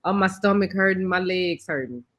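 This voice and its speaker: A woman's voice: fairly low pitch, normal speed. A woman co-hosting a morning talk show, telling a story and acting out the dialogue. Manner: animated storyteller, acts out dialogue. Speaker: show co-host. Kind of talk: casual storytelling chat.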